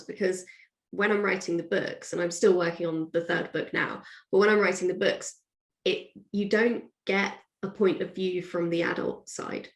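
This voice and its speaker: A woman author being interviewed, chatting casually and thinking aloud in a discursive way. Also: lowish voice. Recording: roomy, echoey sound.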